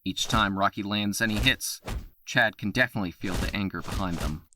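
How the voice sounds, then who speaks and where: A man narrating the action of an audio drama in a steady, matter-of-fact way. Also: lowish voice, fairly quick, noisy background.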